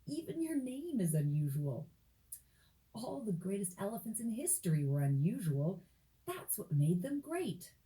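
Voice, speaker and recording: Woman as a very mellow, loving mother elephant. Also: mid-range voice, sing-song, unhurried, rough recording. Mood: tender, reassuring. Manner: tender, reassuring. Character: gentle, loving mother elephant, encouraging and reassuring. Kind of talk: children's storybook recording.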